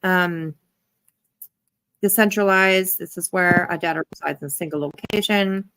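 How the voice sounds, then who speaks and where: A woman hosting a casual online stream, chatty and quick to repeat words of agreement. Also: lowish voice, fairly bright tone, low-quality recording. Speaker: stream host.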